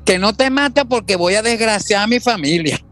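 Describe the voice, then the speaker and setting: A man playing a gruff, threatening old Venezuelan rancher father in a radio soap opera. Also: high-pitched, animated, normal speed, a bit bright, faint music behind. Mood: furious. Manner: gruff, cursing, bossy.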